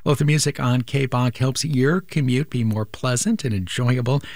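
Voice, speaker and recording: A man's voice: medium pitch, normal speed, darkish tone, no room sound. A male radio host making an earnest, persuasive appeal during a pledge drive.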